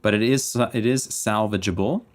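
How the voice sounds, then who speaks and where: A man's voice: on the low side, moderate pace. A man from British Columbia with a light West Coast Canadian accent, a music producer casually thinking aloud and muttering self-critical notes while mixing a track. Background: faint music playback.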